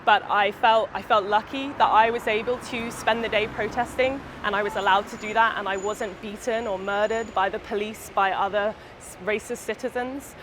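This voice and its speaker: A woman's voice: medium pitch, steady tone, normal speed, hardly any pauses, background noise. A woman who took part in a protest, speaking thoughtfully and a little hesitantly in an interview. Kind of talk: reflective interview.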